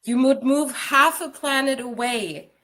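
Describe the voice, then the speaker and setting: A woman's voice: sits high, measured pace, a bit bright. A Finnish woman who grew up abroad, a podcast guest on a video call, speaking frankly with fillers and light laughs. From Finland. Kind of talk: casual interview chat.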